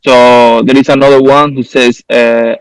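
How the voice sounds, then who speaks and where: A man's voice: medium pitch, flat delivery, unhurried, clean tone, no echo, poor audio. A man moderating an online academic conference, relaying questions from the audience to the speaker.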